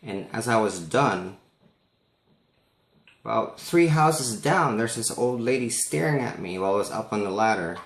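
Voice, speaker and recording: A man casually telling a personal story in an animated way, as if acting out the conversation for listeners. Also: fairly low pitch, unhurried, roomy sound. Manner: animated, acts out dialogue.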